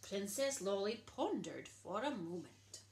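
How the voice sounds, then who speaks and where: A woman, reading a children's picture book aloud in an animated storytelling style. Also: medium pitch, measured pace, slight background noise. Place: small child's bedroom, indoors. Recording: echoey small room.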